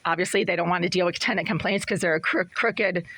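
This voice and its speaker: A woman tenant with a raspy, breathless voice, recording an agitated complaint in her small apartment bathroom and hallway. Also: lowish voice, fairly even intonation, fast, fairly bright tone. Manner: agitated, relentless, repetitive listing. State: short of breath. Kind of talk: angry first-person complaint vlog.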